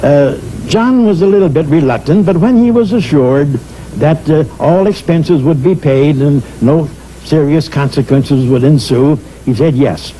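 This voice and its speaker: An older man recounting past events in a measured, hesitant way, with frequent pauses and uh's. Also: a little high, dark tone, slight background noise, poor audio. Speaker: storyteller recalling history. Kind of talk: spoken historical recollection.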